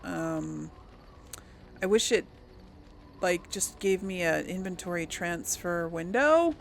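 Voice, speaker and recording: A woman doing casual let's play commentary, musing aloud in a halting way. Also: lowish voice, gravelly, slight background noise. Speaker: gaming channel host.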